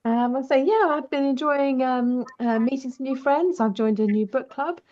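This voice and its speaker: A woman's voice: high voice, normal speed, darkish tone. A woman playing a tabletop roleplaying game, speaking casually in character in a hesitant, polite way, on muffled audio.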